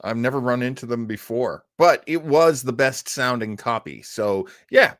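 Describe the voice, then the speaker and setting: A man's voice: medium pitch, moderate pace, fairly bright tone, no echo, studio quality. A man hosting a casual live stream about old radio, rambling and often breaking off to search for his words. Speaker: online show host.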